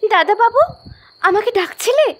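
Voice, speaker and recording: A woman's voice: extremely high pitch, sing-song, measured pace, a bit bright, full character voice. A woman voicing a devoted, anxious young village girl in an audio story, with tender, eager questioning. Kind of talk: audio story drama acting.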